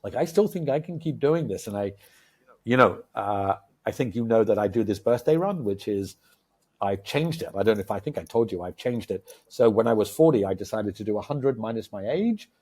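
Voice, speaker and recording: A man in his fifties co-hosting a podcast, talking casually and with enthusiasm, telling stories full of fillers and sudden bursts of excitement. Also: lowish voice, fairly quick, breathy edge. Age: 50s. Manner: enthusiastic, animated storyteller with many fillers. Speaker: podcast co-host.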